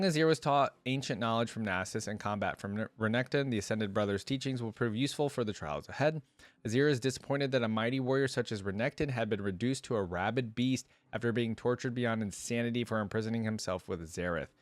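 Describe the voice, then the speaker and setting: A man doing casual, informal reaction commentary, switching between reading aloud and thinking out loud in asides. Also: medium pitch, fairly quick, no echo. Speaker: reaction video creator.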